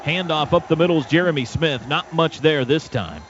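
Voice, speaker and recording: Male American radio football announcer, highish voice, excitable clipped calls, over loud stadium noise. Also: normal speed, highs cut off. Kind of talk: live American football play-by-play commentary. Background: loud stadium ambience.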